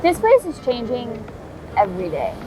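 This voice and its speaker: A woman's voice: fairly high pitch, wildly swooping pitch, moderate pace, noisy background. A young woman from Waikiki, a professional surfer, talking earnestly in an interview and filling her speech with "you know" and "like". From Hawaii, Waikiki. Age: young adult. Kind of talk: interview talk. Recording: music bed underneath.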